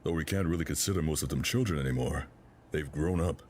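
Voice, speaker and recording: A middle-aged man acting as a conscientious senior member of a secret crime organisation, measured, restrained and reasoning in a dramatic scene. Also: extremely low pitch, a little mellow. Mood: concerned. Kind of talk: dramatic film-style acting. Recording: clearly noisy background ambience.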